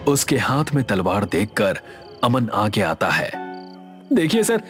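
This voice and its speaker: Expressive male horror story narrator. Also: lowish voice, a little slow, faint music behind.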